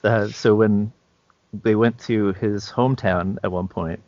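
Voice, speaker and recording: A man's voice: on the low side, steady tone, unhurried, darkish tone, dry recording, highs cut off. A man co-hosting a podcast as a game master, chatting casually in a hesitant, understated way. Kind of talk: casual chat.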